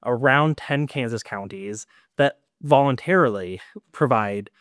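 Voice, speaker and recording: A man's voice: mid-range voice, unhurried, fairly bright tone, no echo, studio quality. A man hosting a panel discussion, asking long questions with a wry edge. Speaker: host, moderator.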